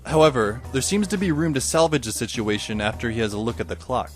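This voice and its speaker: A man's voice: mid-range voice, lively intonation, fast, faint music behind, lightly acted. A man playing a wry teenage schoolboy who narrates an audio drama in a dry, descriptive way.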